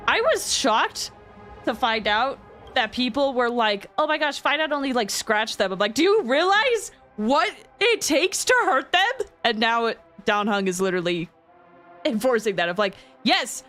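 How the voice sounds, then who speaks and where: A woman's voice: on the high side, very animated, a little slow, a bit bright. A woman livestreamer chatting casually and expressively as she reacts to a game, often exclaiming. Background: game music.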